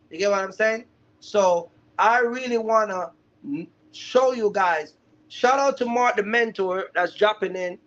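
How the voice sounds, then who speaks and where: Male livestream mentor, high-pitched, chatty and emphatic, on dull lo-fi audio. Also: fairly bright tone. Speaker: livestream host, trucking business mentor. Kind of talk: casual live chat to viewers. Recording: dull, lo-fi live stream audio.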